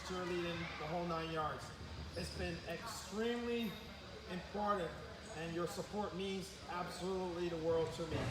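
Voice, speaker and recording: A man from Barbados, a sports coach, talking earnestly and with emphasis in a live interview. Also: high voice. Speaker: sports coach and academy owner. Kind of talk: live interview, motivational talk. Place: indoor sports gym. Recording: echoey hall, clear background noise.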